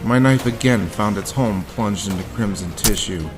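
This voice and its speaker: Male actor as hard-boiled veteran narrator, low voice, haunted, deliberate, noir audio drama, music underneath. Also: natural voice. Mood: grim, tense. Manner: grim, deliberate first-person storytelling. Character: haunted hard-boiled male war veteran recounting his grim past.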